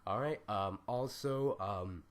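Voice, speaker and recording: A young man with a raspy, scratchy, stuffed-up voice, rambling loosely through a casual gaming tutorial with lots of ums and slang. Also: lowish voice, takes it slowly. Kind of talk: casual screen-recorded tutorial.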